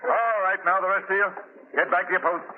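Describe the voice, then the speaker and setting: Stern ship captain played by a man, clipped commanding fast talker, tinny old radio recording. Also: sits high, fairly even intonation, noisy background, lightly acted. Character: brisk, authoritative, stern ship's captain. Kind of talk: 1930s radio drama acting. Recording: thin, narrow 1930s radio recording.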